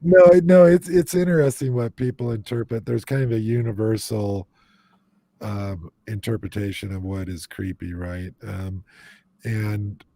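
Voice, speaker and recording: A male host with a dark, gravelly voice on a casual paranormal livestream. The sound is dry and close, and he chats loosely with viewers, full of ums and you-knows. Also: on the low side, fairly even intonation, unhurried, no room sound. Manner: talks to the chat audience, frequent fillers.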